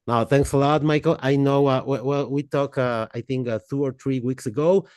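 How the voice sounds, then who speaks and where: Guy hosting a podcast, halting and fillery, mellow dark voice, dry close sound. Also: mid-range voice, steady tone, clean tone, no echo. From Mexico. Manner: halting, many fillers and restarts. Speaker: podcast host. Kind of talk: casual interview chat.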